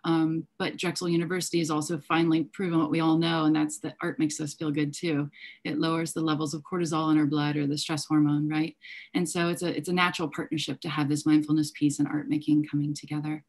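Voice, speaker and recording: Woman teacher, deep voice, earnest panel remarks. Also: little pitch movement, fast, a little mellow. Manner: earnest, fluent with filler ums. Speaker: teacher and class host. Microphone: video call.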